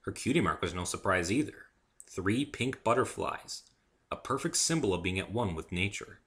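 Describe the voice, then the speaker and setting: A man's voice: fairly low pitch, animated, moderate pace, a bit bright. A man giving an amateur dramatic reading of a first-person story as its narrator.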